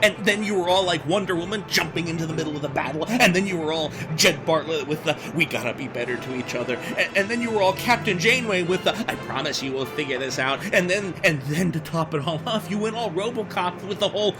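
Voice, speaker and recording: An actor voicing an excitable, cheeky talking bird sidekick who chatters and teases in a comic audio drama. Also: mid-range voice, fast talker, few pauses, lightly acted. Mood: excited, teasing. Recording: background music under the voice.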